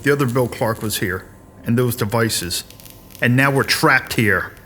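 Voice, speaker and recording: A man's voice: mid-range voice, measured pace, sharp tone. A man playing a tense, suspicious sergeant in an audio drama. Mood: alarmed. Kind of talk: scripted audio drama acting.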